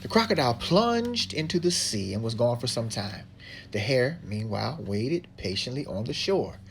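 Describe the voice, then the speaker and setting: A woman's voice: very deep voice, sing-song, normal speed, creaky. A woman reading a storybook aloud as the narrator. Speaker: narrator, reading partner to a child.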